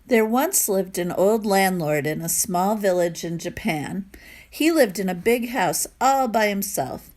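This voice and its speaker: A woman's voice: mid-range voice, animated, unhurried, darkish tone. A woman reading a storybook aloud to children, addressing her young listeners informally.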